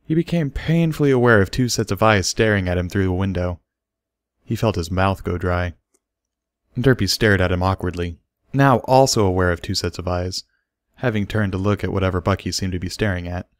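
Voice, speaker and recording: A man's voice: deep voice, a little fast, dry recording, clean studio sound. A man reading a scripted story aloud as its narrator. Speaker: story reader.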